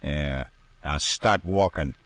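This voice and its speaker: A gruff carjacker with a very low voice. He snaps curt, menacing orders in vintage radio drama style, recorded dry and close. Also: takes it slowly, a bit bright, no room sound, heavily acted. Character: threatening gunman carjacker, gruff and bossy. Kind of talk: old-time radio horror drama acting.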